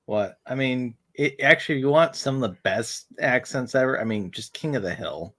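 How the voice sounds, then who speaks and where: A man's voice: medium pitch, lively intonation, normal speed, fairly bright tone. A man in a casual stream chat, blunt and opinionated.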